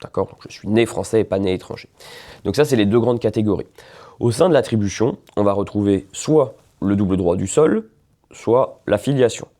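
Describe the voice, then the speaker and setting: A man's voice: on the low side, animated, moderate pace, warm tone. A man, a lawyer, explaining a legal topic in an informal video, talking conversationally with frequent hesitations and check-ins with the listener. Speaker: lawyer explaining nationality law.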